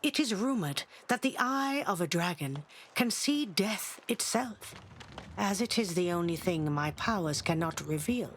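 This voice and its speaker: A woman's voice: fairly low pitch, expressive, measured pace, a little hiss or hum. A woman playing a proud, mysterious elder seer of travelling folk in a fantasy game, speaking in a haughty, portentous way. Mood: ominous, proud. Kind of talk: fantasy game dialogue acting.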